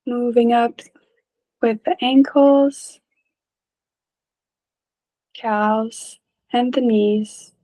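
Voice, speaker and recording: A woman leading a guided meditation in a gentle, calm, unhurried way, leaving long pauses between short phrases. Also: a little high, low-quality recording. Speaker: meditation teacher.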